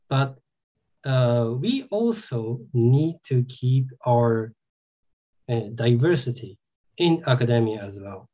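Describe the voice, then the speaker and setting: A man, a native Japanese speaker with a Japanese accent, giving a seminar talk as an academic. He speaks haltingly, with many "you know" and "like" fillers. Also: mid-range voice, darkish tone, husky, poor audio. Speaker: academic lecturer.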